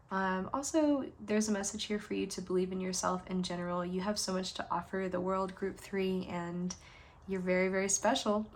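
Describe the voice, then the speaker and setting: A woman giving a pick-a-card tarot reading, her pitch sitting right in the middle. She rambles earnestly and fills her pauses with ums and you-knows. Her tone stays affirming and encouraging throughout. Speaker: tarot reader.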